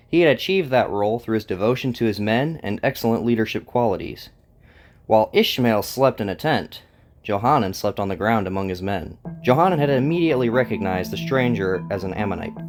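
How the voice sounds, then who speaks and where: A man hosting and narrating a scripted story, with plucked guitar music behind him. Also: on the low side. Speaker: host and narrator.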